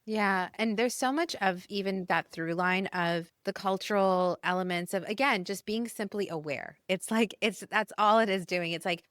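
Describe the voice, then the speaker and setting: A woman's voice: mid-range voice, moderate pace, hardly any pauses, a bit bright, dry recording, studio quality. A woman from India who grew up abroad, hosting a podcast and building her points thoughtfully and expressively. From India, raised abroad. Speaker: podcast host. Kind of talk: casual podcast conversation.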